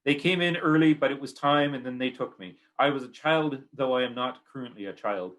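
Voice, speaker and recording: A man's voice: medium pitch, fairly quick, fairly bright tone. A man with a Canadian accent giving a poetry reading of his own work in short, clipped, repeating phrases.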